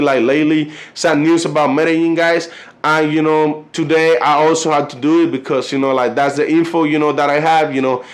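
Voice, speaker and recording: Man, Colombian Spanish accent, native Spanish speaker, fairly high voice, casual vlog chat, rambling, heavy fillers, halting when reading. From Cartagena, Colombia. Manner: loose, rambling, constant "you know" and "like" fillers. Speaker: vlogger.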